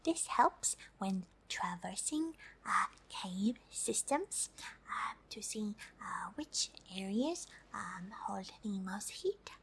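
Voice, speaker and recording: A woman's voice: mid-range voice, expressive, slow, gravelly, fairly bright tone, breathy edge, full character voice. A woman voicing a friendly, polite alien who is curious about humans, speaking hesitantly in an ASMR roleplay. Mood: gentle, explaining. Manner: hesitant, speaks of self in third person.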